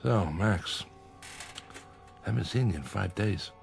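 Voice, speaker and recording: An actor playing a menacing bookmaking boss in a radio crime drama, with weary sarcasm and veiled threats. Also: male voice, fairly low pitch, lively intonation, slow pace, gravelly, over music, full character voice. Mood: cool, needling. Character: menacing, sardonic male gangland bookmaking boss. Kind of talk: old-time radio crime drama acting.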